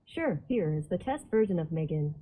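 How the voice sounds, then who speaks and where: A woman's synthetic voice from a smart speaker, giving short flat prompts. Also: sits low, moderate pace, poor audio. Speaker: voice assistant.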